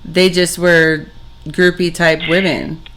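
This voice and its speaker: Smooth, bright radio talk host. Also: mid-range voice, little pitch movement, rough recording. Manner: measured, probing questions. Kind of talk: radio talk show interviewing.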